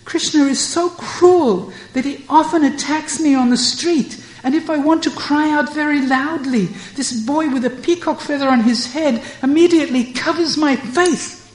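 A woman voicing a distressed young cowherd girl who pleads in a raised, quick voice, in a storytelling reading. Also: a little mellow. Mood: agitated. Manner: high, fast, pleading complaints. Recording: echoey room.

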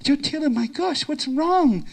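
A woman's voice: high voice, lively intonation, a little slow, vocal fry, a little mellow, pitched above the performer's own voice, exaggerated voice. Woman voicing a fussing elderly mother-in-law. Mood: concerned. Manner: scolding yet soothing, repeats herself.

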